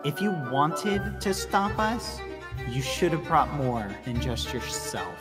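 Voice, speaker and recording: Guy doing a big showy villain-style speech, bit high pitched, music really loud. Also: big pitch swings, slow. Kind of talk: theatrical roleplay speech.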